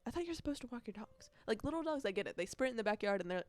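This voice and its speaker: A woman's voice: fairly high pitch, lively intonation, rapid-fire, a little mellow, no echo. A nineteen-year-old woman chatting casually on a solo podcast, rambling and correcting herself, with youthful slang and emphatic repetition. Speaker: podcast host.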